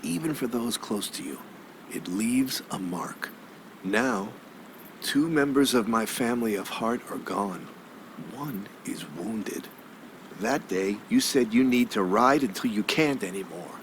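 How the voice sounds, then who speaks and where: An actor playing a courtly, weary old newspaperman in a video game, speaking in a measured, avuncular way with florid phrasing. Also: mid-range voice, lively intonation, vocal fry, a little hiss or hum. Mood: fond, reflective.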